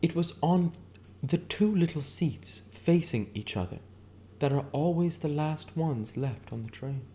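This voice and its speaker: Man putting on a high-pitched, gushing, brash woman. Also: lively intonation, unhurried, dark tone, slight background noise. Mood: breathless, romantic. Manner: dramatic, gossipy. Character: brash, gushing, affected married woman in a 1920s novel. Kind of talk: character voice in an audiobook reading.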